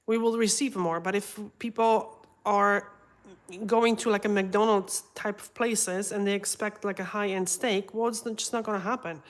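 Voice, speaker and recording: A mid-pitched woman speaking English as a second language, frank and opinionated. She talks casually, with plenty of "like" and "um", while she works on a client's nails. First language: not English. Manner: frank, opinionated, many fillers. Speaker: nail technician co-host. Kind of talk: casual livestream chat.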